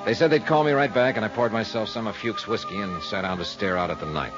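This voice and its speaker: A man plays a hard-boiled insurance investigator in 1950s radio noir, with a very low voice. He is terse, weary and wry. The old recording is muffled, with music. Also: fast, natural voice. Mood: brooding, wry. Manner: terse, dry, wry tough-guy delivery. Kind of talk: 1950s radio noir acting and first-person narration.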